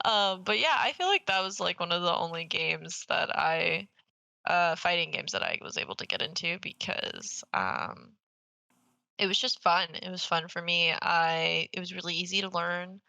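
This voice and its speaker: A woman's voice: lowish voice, animated, moderate pace, gravelly, bright, forceful tone, dry recording, poor audio. A young woman co-hosting a gaming podcast in casual, slangy chat, swearing freely and teasing playfully. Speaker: podcast co-host.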